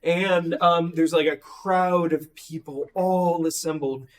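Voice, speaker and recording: A hoarse man losing his voice, running a tabletop game and narrating with many ums and restarts. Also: fairly high pitch, fairly even intonation. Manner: halting, full of ums and likes. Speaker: game master.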